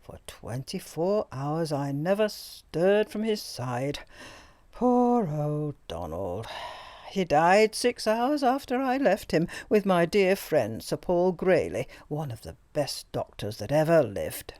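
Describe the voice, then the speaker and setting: Loud jolly old doctor guy, fussy and chatty, read by a woman. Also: deep voice, big pitch swings, takes it slowly, no room sound, full character voice. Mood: jovial. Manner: loud, talkative, jovial. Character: fussy jovial elderly male doctor.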